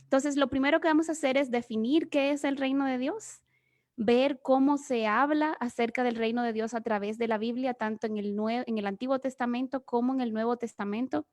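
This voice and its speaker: A woman's voice: on the high side, fairly even intonation, brisk, a little mellow. A woman from the Dominican Republic with a Dominican accent, giving an earnest, explanatory Bible teaching as guest speaker. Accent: Dominican Spanish. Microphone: remote video session.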